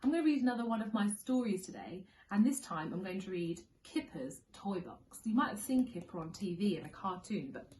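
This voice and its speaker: A woman's voice: medium pitch, very animated, fairly quick, few pauses, a little mellow, a little hiss or hum, poor audio. A woman reading a children's story expressively as a school storyteller, leaning on key words for young listeners.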